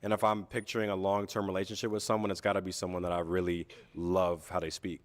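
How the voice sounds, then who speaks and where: Lowish voiced guy on a dating show, kinda hesitant, lots of ums. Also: little pitch movement, in a large hall. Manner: hesitant, halting.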